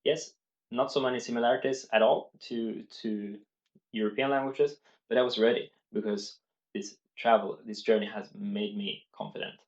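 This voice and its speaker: A man's voice: lowish voice, steady tone, moderate pace, roomy sound, dull audio. A man vlogging about language learning, speaking unscripted to the camera in an earnest way and explaining step by step, with many restarts.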